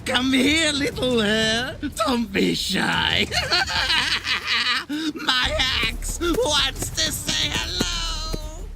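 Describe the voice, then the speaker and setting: A man's voice: extremely high pitch, big pitch swings, drawn-out words, gravelly, full character voice. A man playing a gleefully sadistic barbarian raider with a sing-song, taunting manner in an audio drama. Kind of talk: fantasy audio drama acting. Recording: music bed behind the voice.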